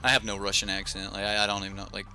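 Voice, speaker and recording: A man's voice: sits low, monotone, very fast, vocal fry, bright, forceful tone, soft background music. A young man casually commentating his own card game on a live stream, thinking aloud with lots of fillers and restarts.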